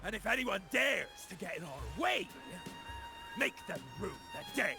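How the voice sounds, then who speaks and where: An actor playing an excitable, cowardly, blustering pirate in a video game, with exaggerated pompous delivery. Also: male voice, high voice, unhurried, gravelly, full character voice. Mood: grandiose. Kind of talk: video game character dialogue. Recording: loud music behind the voice. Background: loud game music.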